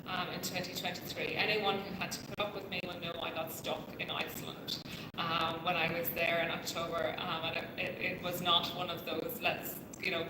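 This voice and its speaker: A woman gives a conference presentation in a conference room. She talks in a conversational way and thinks aloud with frequent ums. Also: lowish voice. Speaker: conference speaker. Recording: echoey room with background noise.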